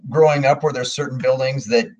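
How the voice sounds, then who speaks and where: A man hosting an interview podcast, asking questions in a friendly, halting way with frequent fillers. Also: mid-range voice, steady tone, a little mellow. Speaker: podcast host.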